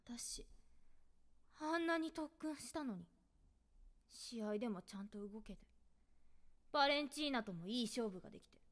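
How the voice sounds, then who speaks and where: A woman's voice: fairly high pitch, expressive, normal speed, long pauses, natural voice. A woman playing a hot-headed, insecure teenage girl athlete in an anime visual novel, emotional and bitter.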